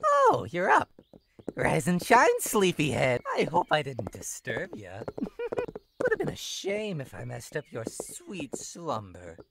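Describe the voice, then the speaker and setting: A mischievous clown creature from a horror game lurches between singsong teasing and low, creaky menace. The pitch swings wildly as it toys with the listener in theatrical, taunting fashion. There is clear noise on the recording. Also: female voice, huge pitch swings, heavily acted. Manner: theatrical, teasing singsong with drawn-out words and sudden drops. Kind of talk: visual novel horror game character acting.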